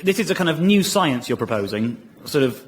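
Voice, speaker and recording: A man's voice: a little high, sing-song, fairly quick. A man presenting a comedy show on the radio, recorded live.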